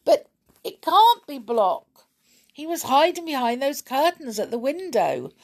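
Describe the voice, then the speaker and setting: A woman voicing a cheeky, excitable young boy in a storybook, with an earnest, protesting manner. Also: on the low side, slow pace, creaky, slightly rough, full character voice. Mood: excited, protesting.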